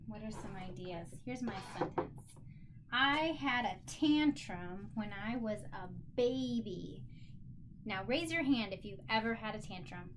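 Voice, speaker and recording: A woman with a fairly high voice speaks as a teacher to young children. She explains things simply and encouragingly, in the style of a lesson and read-aloud. There is faint noise behind her. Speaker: special education teacher.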